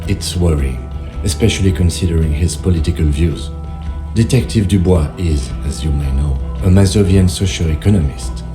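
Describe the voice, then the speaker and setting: An actor playing a composed, precise police lieutenant with a measured, formal, dry delivery in a video game. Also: male voice, low voice, music in the background, natural voice.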